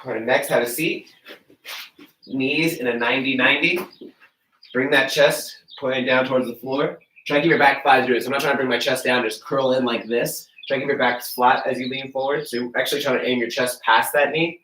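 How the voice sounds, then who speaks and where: A man's voice: mid-range voice, a little fast, slight background noise. Congested man coaching from across a roomy gym. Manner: relaxed, friendly coaching while demonstrating stretches on the floor. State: congested, stuffy nose. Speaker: fitness coach. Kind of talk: live-streamed workout instruction. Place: garage gym, indoors. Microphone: camera mic from across the room. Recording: echoey garage, voice from a distance.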